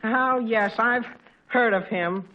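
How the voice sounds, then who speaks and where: A man playing a bashful, polite young suitor in a 1940s radio sitcom, giving halting, brief replies. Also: extremely high pitch, dull audio. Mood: awkward. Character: shy, awkward, polite young male suitor. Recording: noisy 1940s radio recording.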